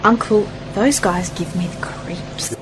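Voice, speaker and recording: A woman's voice: mid-range voice, slow, over music, close to an everyday voice, low-quality recording. A woman playing a curious, sceptical, outspoken niece in an amateur sci-fi radio play, pert and full of questions. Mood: insistent.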